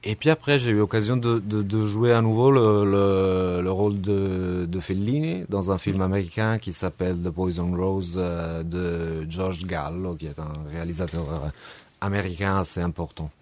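A man's voice: deep voice, moderate pace, a little mellow. A young man from Rome, a native Italian speaker with an Italian accent, talking as an actor guest in a radio interview, hesitant and often repeating words. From Rome, Italy.

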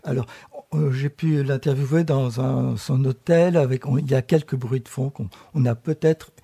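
Male host, hesitant delivery, mellow and gravelly. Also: medium pitch, steady tone. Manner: halting, hesitant. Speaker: radio host and interviewer. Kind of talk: on-air introduction.

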